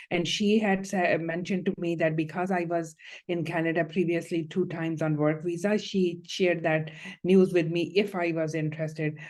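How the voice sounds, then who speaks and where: A woman playing a visiting client in a mock hearing, answering questions in steady, explanatory sentences. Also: low-pitched.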